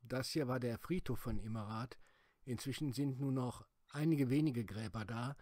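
A middle-aged man calmly narrating a documentary travel video as its host. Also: mid-range voice, normal speed, a little hiss or hum. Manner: calm, explanatory.

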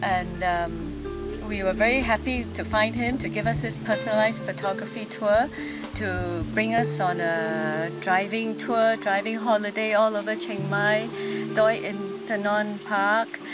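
A woman's voice: medium pitch, fairly even intonation, a little slow. Earnest middle-aged woman from Singapore. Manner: earnest, reflective, with fillers. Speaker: workshop participant. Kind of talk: casual interview answers. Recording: music playing underneath.

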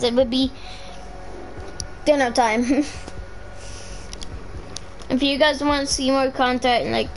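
A woman's voice: high-pitched, slight background noise, low-quality recording. A woman doing casual, rambling gameplay commentary as a YouTuber, playful and humming nonsense syllables. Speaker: gaming YouTuber.

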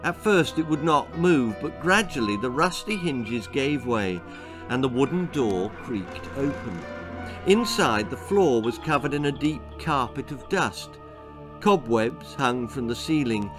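A man, telling a spooky children's story in a measured, theatrical, suspenseful way. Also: mid-range voice. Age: older, sixties. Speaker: author reading his own children's story. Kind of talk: dramatic storytelling, spooky children's tale narration. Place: book-lined room indoors.

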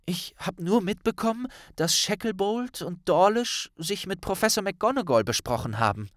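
A man's voice: high voice, animated, normal speed, a bit bright, no echo, studio quality, voice pushed up. A man voicing an earnest, worried young witch in an audiobook, serious and urgent in manner. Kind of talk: audiobook character dialogue.

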